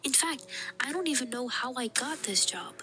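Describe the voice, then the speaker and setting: A young adult woman telling stories to camera in a casual, animated way, with frequent laughs and fillers. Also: high voice, moderate pace, slight background noise. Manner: animated and giggly, with many fillers. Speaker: vlogger. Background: background music.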